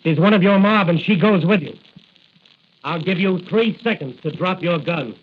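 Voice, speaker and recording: An American actor playing a righteous, commanding masked crime fighter in a 1940s radio adventure serial, declaiming tough talk on an old recording. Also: male voice, high-pitched, normal speed, husky, phone-quality sound. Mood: threatening, defiant. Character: heroic masked crime fighter, bold, righteous young man.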